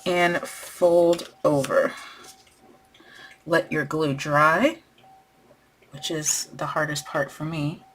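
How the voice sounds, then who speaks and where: A woman hosting a craft tutorial, losing her voice and clearing her throat as she talks through each step while working. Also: deep voice, fairly even intonation, very slow, pauses often, a little hiss or hum. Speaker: crafting channel host.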